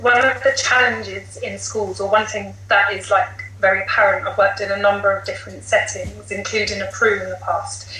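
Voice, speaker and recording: A woman's voice: medium pitch, little pitch movement, moderate pace, hardly any pauses, bright, forceful tone. A woman with a British accent, a teacher and podcast guest, explaining her views in an articulate, emphatic way. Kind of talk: considered discussion. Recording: roomy echo, light background noise.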